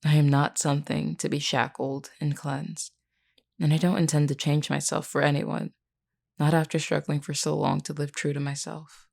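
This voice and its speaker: A woman playing a flirty, frank, self-assured werewolf in an intimate, unhurried audio roleplay spoken to the listener. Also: little pitch movement. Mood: frank, firm. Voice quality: low, creaky, mellow. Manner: unhurried, teasing yet earnest, deliberate.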